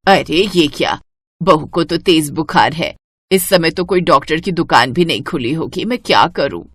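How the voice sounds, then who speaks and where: A woman's voice: low-pitched, expressive, normal speed, fairly bright tone, no room sound, voice pushed down. A woman voicing an elderly mother-in-law who is caring, anxious and stubborn, in a storybook tale. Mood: alarmed. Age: older.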